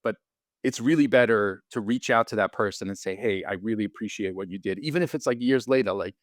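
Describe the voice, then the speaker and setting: Low-voiced man, rambling with restarts. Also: fast talker, dry recording, clean studio sound. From Israel. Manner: rambling, frequent restarts and repeated words. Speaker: podcast guest, surgeon. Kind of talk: casual interview conversation.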